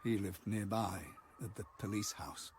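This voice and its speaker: An actor playing a haunted, halting man who recalls a wartime childhood in a radio drama. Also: lowish voice, level tone, a little mellow, a little hiss or hum, natural voice. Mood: grave, remembering. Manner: halting, fragmented, hesitant delivery.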